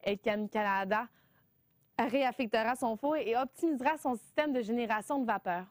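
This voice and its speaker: A woman news anchor with a fairly high voice who reads the stories in a measured, even way. The sound is close and dry, with no room around it. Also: dry recording. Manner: smooth, measured delivery. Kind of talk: scripted newscast reading.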